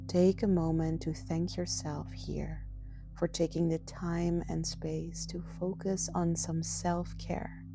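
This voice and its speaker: A woman guides a relaxation meditation in a gentle, soothing voice. She speaks very slowly, with a fry at the edges of her words. Soft meditation music plays clearly behind her. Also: on the low side. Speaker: yoga nidra guide.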